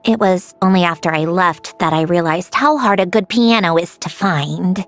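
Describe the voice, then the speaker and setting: A woman's voice: fairly low pitch, normal speed, fairly bright tone, soft background music, natural voice. A woman playing a proud young female genius mechanic in a video game, speaking with dry, precise self-assurance and a wistful streak. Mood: wistful, composed. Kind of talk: scripted video game dialogue.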